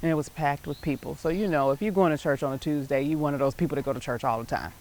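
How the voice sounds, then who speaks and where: A woman's voice: low voice, fast talker, darkish tone. American woman telling personal stories. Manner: conversational, emphatic, often checking in with "right?". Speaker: show host. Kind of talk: personal storytelling about life and faith.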